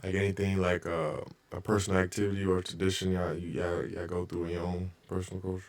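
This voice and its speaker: Male podcast host with a very low voice; relaxed, slangy interviewer. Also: fast talker. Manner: easygoing, slangy questions. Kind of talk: casual interview.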